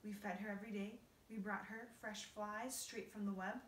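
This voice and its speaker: A woman's voice: mid-range voice, steady tone, moderate pace, a bit bright, distant mic, natural voice. A prim, dramatic female spider as a woman reads her aloud frets over an old family sorrow. The voice comes from a little way off the mic, in a roomy space with a faint hiss underneath. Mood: mournful. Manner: prim, fretful storytelling.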